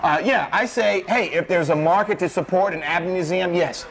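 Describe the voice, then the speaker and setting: A man's voice: high voice, normal speed, crowd noise, low-quality recording. A man co-hosting a music podcast, chatting casually and giving his opinions in loose banter.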